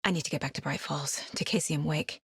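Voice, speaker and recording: A serious, focused female federal agent in a video game, with a lowish voice and a very dry sound. She talks in a matter-of-fact, naturalistic way, like someone working through a case. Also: steady tone, quick pace, breathy edge, no echo, full character voice. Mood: focused, brooding. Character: determined, focused female FBI agent and worried mother. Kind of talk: naturalistic game voice acting, inner monologue and dialogue.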